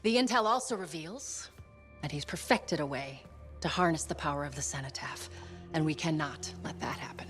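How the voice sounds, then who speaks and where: An actress playing a composed, guarded spymaster in a video game, speaking in a measured, commanding manner. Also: female voice, deep voice, fairly bright tone, faint music behind. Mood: grave, urgent. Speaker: game character, intelligence chief. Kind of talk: cinematic game voice acting.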